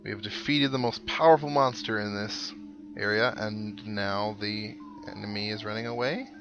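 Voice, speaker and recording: A man hosting a casual let's play, chatting to viewers and thinking aloud with some wry joking. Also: fairly low pitch. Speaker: gaming video host. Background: video game music.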